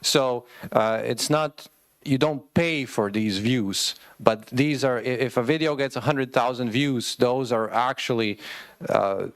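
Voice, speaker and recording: A man's voice: mid-range voice, a little slow, no room sound. Man moderating a panel, many fillers. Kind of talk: panel discussion.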